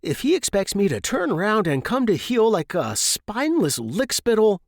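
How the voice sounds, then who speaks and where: A man voicing an earnest, agitated young Victorian gentleman scholar with a proper, emphatic manner, in an audiobook story. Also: high-pitched, wildly swooping pitch, moderate pace, vocal fry, no room sound, clean studio sound, pitched above the performer's own voice, heavily acted. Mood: indignant.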